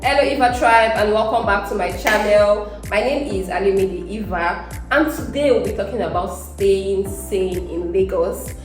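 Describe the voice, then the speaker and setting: Nigerian girl hosting her channel, giggly and playful, mid voice, faint music behind. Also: mid-range voice. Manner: playful, giggly, quick questions. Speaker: host. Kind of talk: casual interview hosting. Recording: echoey room, mic at a distance, faint music.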